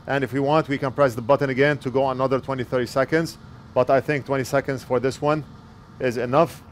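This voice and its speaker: A man's voice: mid-range voice, fairly even intonation, quick pace, a little hiss or hum. A man in his forties walking through an electronics repair step by step as he works at his bench, speaking plainly into a headset mic. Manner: plain, explanatory, talks while working. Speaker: repair technician. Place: electronics repair workbench indoors. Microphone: wired earpiece headset mic.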